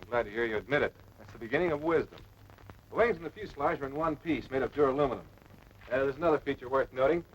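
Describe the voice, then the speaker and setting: An actor playing a seasoned, patient air force officer giving measured, authoritative instruction in a 1940s military training film. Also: male voice, medium pitch, close to an everyday voice. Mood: instructive. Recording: noisy dull vintage film soundtrack.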